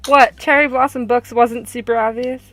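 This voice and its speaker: A woman's voice: fairly high pitch, fairly even intonation, normal speed, a bit bright, soft background music, close to an everyday voice. A woman voicing a reluctant, defensive young woman, the heroine of a visual novel. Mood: awkward.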